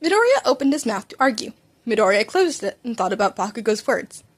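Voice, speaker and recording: A woman's voice: a little high, sing-song, a little fast. A woman narrating a piece of fan fiction as an amateur audiobook reader.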